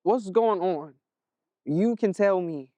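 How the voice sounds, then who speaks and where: A man's voice: sits high, slow, dark tone, dry recording. A man with an American accent playing a concerned, easygoing older brother in a stage play, speaking casually.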